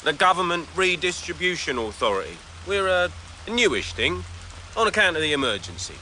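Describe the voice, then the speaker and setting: Sharp, bright-voiced shifty crook. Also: male voice, fairly high pitch, sing-song, a little fast, a little hiss or hum, exaggerated voice. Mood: shifty, glib. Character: shifty bogus government man, petty looter. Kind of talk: radio drama acting.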